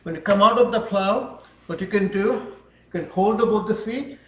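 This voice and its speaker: A man teaching a yoga class, speaking calmly and unhurriedly while he demonstrates the poses. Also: high-pitched, a little hiss or hum. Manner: calm, unhurried instruction while holding poses. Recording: echoey room, voice from far off.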